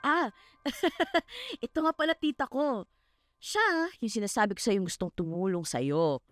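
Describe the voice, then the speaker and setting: A woman voicing a bubbly, teasing young female friend with a Filipino accent in an animated short film. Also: medium pitch, moderate pace, soft background music, natural voice. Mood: excited, friendly. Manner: lively, teasing.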